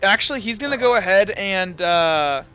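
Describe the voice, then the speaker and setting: A man's voice: high-pitched, lively intonation, moderate pace, dull audio, over loud music. A man running a tabletop game as game master, talking through the rules and dice aloud and often correcting himself. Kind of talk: narrating and refereeing a tabletop game.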